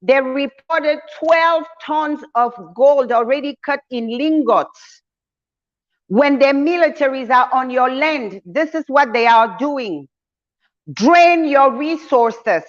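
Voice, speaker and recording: A woman's voice: high-pitched, animated, takes it slowly, lo-fi sound. A woman hosting a channel, giving an emphatic, preacher-like motivational address to her followers, full of endearments for her listeners. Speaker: channel host, community leader.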